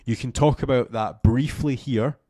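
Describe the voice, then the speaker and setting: A man's voice: mid-range voice, normal speed, warm tone, dry recording, studio quality. Young man with a cough. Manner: casual, rambling with many fillers. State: slight cough, losing voice. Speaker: SEO coach. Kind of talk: screen-share tutorial. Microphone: studio mic close up.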